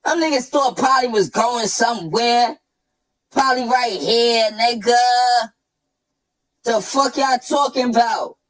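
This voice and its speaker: A man with a New York accent ranting and trash-talking through a pitch-shifted chipmunk voice effect, cocky and profane. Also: slow pace, a bit bright, poor audio. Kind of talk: brash trash-talk rant.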